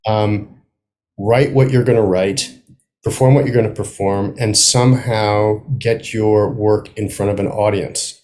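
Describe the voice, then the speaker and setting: A middle-aged man speaking reflectively as a guest playwright in an interview, thoughtful and halting, often circling back to rephrase. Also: fairly low pitch, steady tone, a little mellow.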